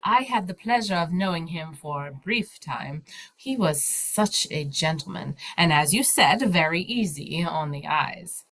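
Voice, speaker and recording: A woman acts a bubbly, sociable wife in an audio play. She gushes perkily, with a very lively rise and fall in pitch. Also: unhurried, exaggerated voice, rough recording. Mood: fond. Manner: gushing, perky. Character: May, bubbly sociable married lady club member.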